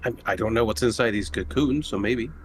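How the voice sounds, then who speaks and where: A man's voice: lowish voice, quick pace, slight background noise. A man playing a tabletop roleplaying game, chatting casually and playfully, often asking questions. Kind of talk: casual in-character roleplay chat.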